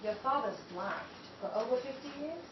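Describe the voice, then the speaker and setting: An actress playing a haughty, sardonic sister-in-law who speaks with cool superiority in a 1930s-style radio drama. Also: female voice, sits high, expressive, normal speed, echoey room, distant mic, highs cut off, very noisy.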